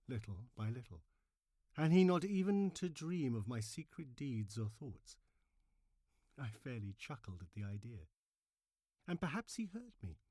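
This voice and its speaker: A man playing an agitated, murderous madman who insists he is sane, in a theatrical, emphatic reading of a horror story with hushed drops and sudden swells. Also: mid-range voice, dry recording, clean studio sound.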